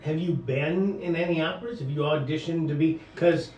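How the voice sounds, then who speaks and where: A man hosting a talk radio interview, halting and full of fillers and restarts as he asks questions. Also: on the high side, a little mellow, roomy sound, recorded from a distance, dull audio. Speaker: radio talk show host.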